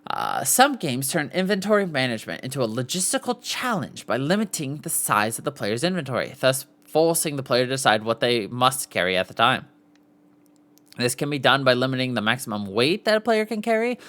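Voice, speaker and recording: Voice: medium pitch, a bit bright. A podcast host reading an article aloud in a formal way, with the odd stumble and brief aside.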